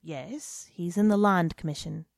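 A woman's voice: fairly low pitch, a little slow, vocal fry, no room sound. A woman voicing a timid, serious man who answers briefly and modestly, in a dry recording. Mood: reserved. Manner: quiet, reserved, short replies.

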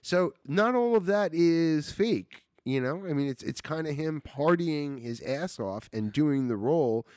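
A man's voice: fairly high pitch, expressive, a little slow, few pauses, darkish tone, no echo, studio quality. A man co-hosting a podcast, chatting casually and enthusiastically about films, rambling and swearing freely. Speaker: podcast co-host. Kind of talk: casual movie chat.

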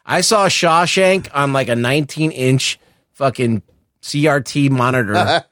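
Middle-aged male podcast co-host, mid-pitched, halting and informal, opinionated film chat, close dry recording. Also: a bit bright, no echo. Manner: halting, circling phrases, casual swearing. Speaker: podcast co-host discussing films.

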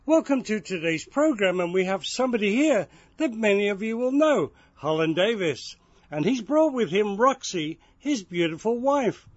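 High-voiced English man interviewing. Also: big pitch swings, normal speed, no room sound. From England. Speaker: interviewer host. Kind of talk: radio interview. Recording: muffled, dry radio studio sound.

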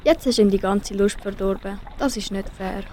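A woman's voice: medium pitch, fairly quick, mellow, close to an everyday voice. A teenage girl with a Swiss German accent voicing a sensible, comforting fish in an amateur school radio play. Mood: reproachful. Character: sensible, comforting red-finned fish friend.

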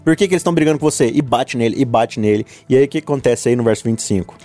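A man's voice: mid-range voice, very fast, soft background music. A man co-hosting a casual Bible discussion podcast, joking and acting out lines from the story. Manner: jokey, animated, acts out quotes. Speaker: podcast co-host.

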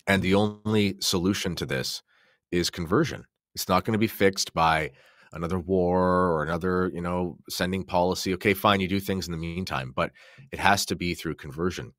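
A man with a deep voice talks religion as a podcast guest. He explains with emphasis and poses questions to drive his points along. The sound is dry, with very little room in it. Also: normal speed, a bit bright, no echo. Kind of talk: impassioned religious discussion.

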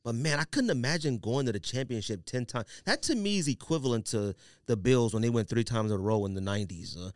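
A man's voice: mid-range voice, lively intonation, fast, darkish tone, no echo, clean studio sound. A man hosting a casual sports and sneaker podcast, talking in slangy, teasing banter with friends. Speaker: podcast host.

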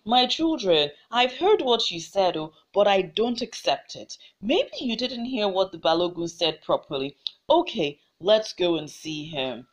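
A woman's voice: medium pitch, animated, unhurried, natural voice. A woman with a Nigerian accent voicing a grieving, fierce old village mother who pleads with her ancestors. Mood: stubborn. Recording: slightly muffled lo-fi recording.

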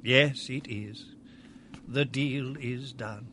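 An actor playing a kindly, sorrowful elderly merchant, earnest and theatrical in a radio drama. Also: male voice, mid-range voice, steady tone, extremely slow, bright, forceful tone, slight background noise. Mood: wistful, defeated. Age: older. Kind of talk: family radio drama acting.

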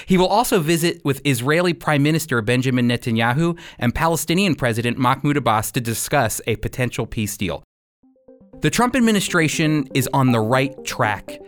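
A man with a smooth, resonant voice hosts a faith-based radio program. His pitch sits in the middle for a male speaker, and his delivery is earnest, with plenty of emphasis. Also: lively intonation, fairly quick, soft background music. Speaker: radio show host.

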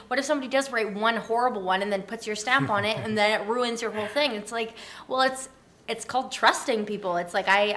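A woman's voice: medium pitch, fairly bright tone. A woman speaking as a podcast guest, earnest and informal, in casual conversational interview style.

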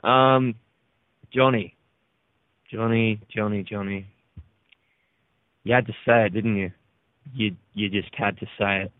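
A man's voice: on the low side, steady tone, a little slow, pauses often, no room sound. An Australian man leaving a casual, joking, profane voicemail for a show. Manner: profane, joking, laid-back. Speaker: caller. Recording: tinny phone line.

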